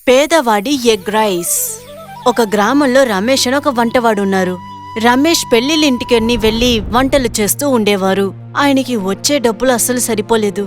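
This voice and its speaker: A woman's voice: fairly high pitch, normal speed, soft background music. A woman reading a scripted story as its narrator.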